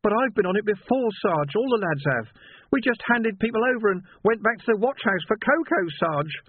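A man's voice: high-pitched, expressive, fairly quick, husky, no room sound, voice pushed up. A man voicing a distraught young male lance constable who is crying and stammering. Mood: pleading. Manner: pleading, breaking voice, stammering. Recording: dry, tinny phone-like sound.

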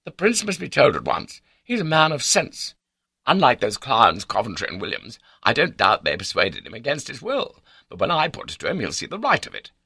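A man's voice: on the low side, sing-song, fairly quick, vocal fry, sharp tone, breathy edge, no room sound, studio quality, voice pushed up, heavily acted. A man voicing an arrogant, indignant upper-class baronet in an audiobook, with a blustering, sneering and haughty manner. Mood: indignant, confident. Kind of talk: audiobook character dialogue.

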